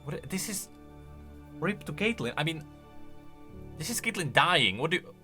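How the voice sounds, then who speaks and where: A man with a fairly high, bright voice gives casual reaction commentary. His pitch jumps around in an animated, exclamatory way as he thinks aloud. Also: moderate pace, soft background music. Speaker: video creator and commentator.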